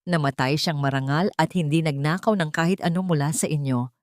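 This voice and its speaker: A gentle, humble wife from a storytelling drama, voiced by a female narrator. She speaks earnestly, with restraint and a firm core. The recording is dry, with a lowish pitch. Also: brisk, dry recording, close to an everyday voice. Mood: firm, angry.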